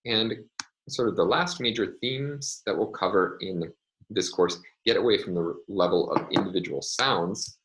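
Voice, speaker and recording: A man lecturing in a measured way, often pausing with ums and uhs as he explains. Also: deep voice, a bit bright, a little hiss or hum, rough recording. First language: English. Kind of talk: recorded online lecture.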